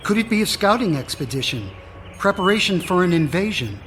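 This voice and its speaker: Stern, high-voiced government secretary. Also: male voice, big pitch swings, brisk, background noise. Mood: grave. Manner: clipped, authoritative. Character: stern, exasperated male senior government secretary. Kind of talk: radio drama acting. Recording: music behind the voice.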